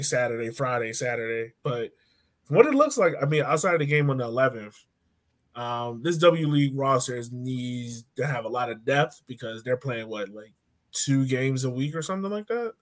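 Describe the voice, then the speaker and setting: A man's voice: mid-range voice, expressive, normal speed. A man in his thirties hosting a sports podcast from home in a casual, joking style. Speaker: podcast host. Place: room at home, indoors.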